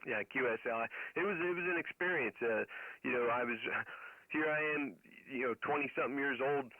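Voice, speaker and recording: A man's voice: medium pitch, brisk, slight background noise. A middle-aged man telling stories casually over ham radio, in a rambling way with military jargon. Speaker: amateur radio operator. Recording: narrow phone-like radio sound.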